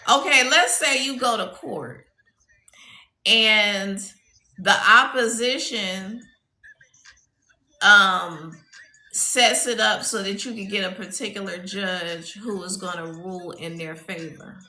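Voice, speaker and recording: Lady doing a spiritual reading on a live stream, cusses a lot, slow and bright, kinda echoey. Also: mid-range voice. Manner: blunt and profane, storytelling with quotes. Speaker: spiritual reader. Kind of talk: casual livestream spiritual reading.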